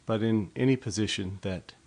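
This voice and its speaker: Low-voiced man guiding a meditation. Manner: gentle, unhurried instruction with pauses between short phrases. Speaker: meditation guide. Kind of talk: guided meditation narration.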